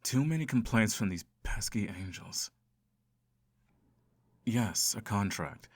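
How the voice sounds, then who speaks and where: A low-voiced male incubus, acted out by a man, speaks close and dry with no room sound. He is a lust demon with a sardonic, seductive temper and a theatrical, long-suffering manner, made for an ASMR fantasy roleplay. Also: lively intonation, slow, husky, dry recording, exaggerated voice. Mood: incredulous, exasperated. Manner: theatrical, sighing, dry sarcasm.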